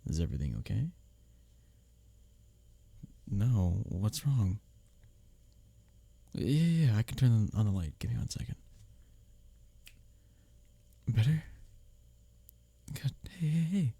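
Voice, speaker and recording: A man's voice: lowish voice, big pitch swings, a little slow, creaky, pauses often, warm tone, husky, no echo, heavily acted. A man playing a bickering but secretly caring workplace rival in an intimate ASMR audio roleplay, with a teasing, sardonic manner. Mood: gently concerned. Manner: intimate, teasing, sardonic, chuckling between lines. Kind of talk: ASMR audio roleplay, one-sided dialogue.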